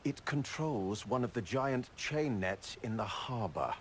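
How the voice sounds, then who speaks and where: An actor playing a fussy, officious bureaucrat in a video game, a stickler for rules with an exasperated manner. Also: male voice, medium pitch, animated, measured pace, slight background noise, close to an everyday voice. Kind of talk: video game dialogue acting.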